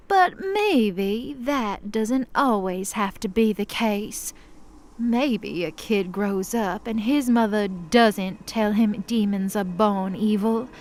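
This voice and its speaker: A woman voicing a cocky female demon with a softer side in an audio drama, in an unhurried manner. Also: mid-range voice, natural voice.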